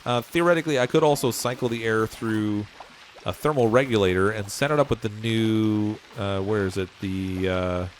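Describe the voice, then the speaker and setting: A man's voice: fairly low pitch, moderate pace, a little hiss or hum. A man streaming a game, rambling as he thinks aloud and chuckling often, his voice wearing out. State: losing his voice. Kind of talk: casual game livestream commentary.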